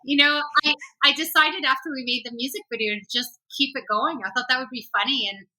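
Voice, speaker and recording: Bright-voiced chatty woman, laughing easily. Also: high voice, fairly quick, poor audio. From Canada. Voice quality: soft voice with slight hoarseness. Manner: chatty, laughs often, sings snippets. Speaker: country-rock singer, interview guest. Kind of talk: casual interview chat.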